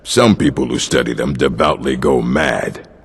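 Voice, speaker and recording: An actor playing a gruff older male orc librarian in a video game, dry, weary and curt, with a gravelly, husky voice. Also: a bass voice, normal speed, full character voice. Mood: musing. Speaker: game character. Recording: long hall reverb.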